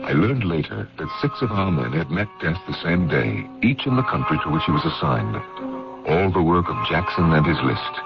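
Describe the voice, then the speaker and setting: A deep-voiced, earnest investigator with a gravelly edge and lively intonation. He speaks seriously, in old radio drama style, on a dull recording with music behind. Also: exaggerated voice. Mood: grave, narrating. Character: sober male investigator narrating his own case, earnest and considerate. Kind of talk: old-time radio drama narration and dialogue.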